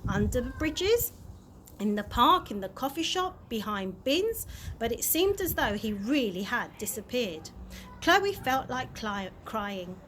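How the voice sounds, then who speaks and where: Animated woman reading a children's story. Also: a little high. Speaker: early years practitioner reading a story. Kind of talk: animated children's audiobook narration. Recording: clear background noise.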